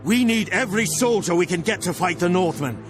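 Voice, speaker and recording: A high-pitched, commanding fantasy captain, speaks with formal urgency. He declaims like an earnest officer in a war video game, with music playing behind him. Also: lively intonation, brisk. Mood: urgent. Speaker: military captain. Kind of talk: dramatic video game voice acting. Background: game score.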